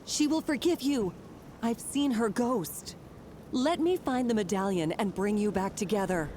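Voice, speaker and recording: An actress playing a caring, resolute mother and museum curator in a video game, speaking in an earnest, measured way. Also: a little high, a little hiss or hum, close to an everyday voice. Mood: earnest, pleading. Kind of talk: video game acting and story narration. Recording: loud music behind.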